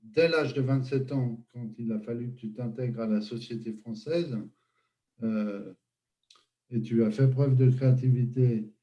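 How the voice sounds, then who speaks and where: A man hosting an interview, asking questions and summing up in a measured way with fillers. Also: mid-range voice, steady tone, highs cut off. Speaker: host.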